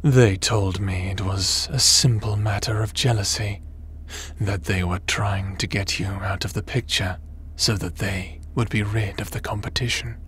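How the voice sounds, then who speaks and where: Noise sits behind a low male voice: a man acting as a cold, power-hungry crime lord from a sci-fi audio drama. He is smug and theatrical, drawing out every measured threat at a very slow pace. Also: steady tone, fairly bright tone. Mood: smug, gloating.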